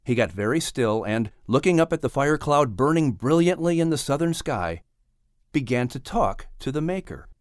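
A man reading a children's story as an audiobook narrator, with expressive, dramatic delivery. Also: mid-range voice, normal speed, dry recording, clean studio sound.

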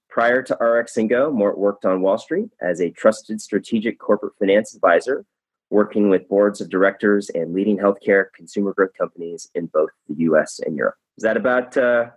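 Husky-voiced male podcast host. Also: on the low side, dry recording, rough recording. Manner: upbeat, with repeated words and restarts. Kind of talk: podcast interview.

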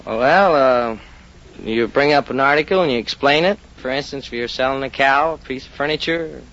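Bright-voiced man on tinny old radio. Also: medium pitch, normal speed. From Illinois. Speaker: auctioneer contestant. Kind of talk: radio quiz interview. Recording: lo-fi phone-like old radio broadcast.

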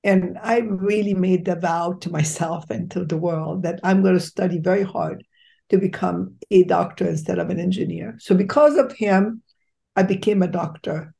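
A woman's voice: lowish voice, vocal fry, warm tone. A middle-aged woman from Egypt, a doctor hosting a podcast, telling her life story in an emphatic, enthusiastic way with fillers and restarts. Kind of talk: conversational storytelling interview.

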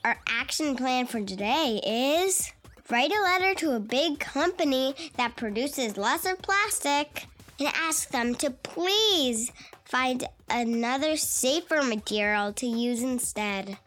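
A woman's voice: high voice, expressive, slow pace, a bit bright. A woman co-hosting a children's podcast in scripted, simple dialogue addressed to kids.

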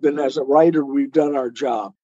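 Mellow-voiced man explaining, slightly dull sound. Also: mid-range voice, fairly even intonation, normal speed, no room sound. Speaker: guest author, storyteller and podcaster. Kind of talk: conversational interview answers.

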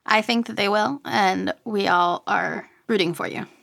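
Creaky-voiced woman interviewing a guest. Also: medium pitch, steady tone, a little slow, fairly bright tone. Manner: affirming, supportive of the guest. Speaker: podcast interviewer. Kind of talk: conversational interview.

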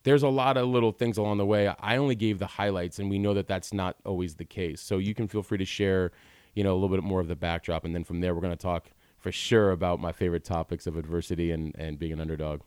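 Deep-voiced, smooth male podcast host. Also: fast, no echo, studio quality. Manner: relaxed, chatty, many fillers. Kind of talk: casual podcast interview.

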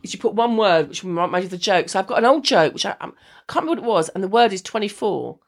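A woman's voice: mid-range voice, expressive, quick pace, creaky. A woman comedian chatting casually in an interview, rambling along in a wry, self-deprecating way. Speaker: stand-up comedian being interviewed. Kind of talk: casual chat.

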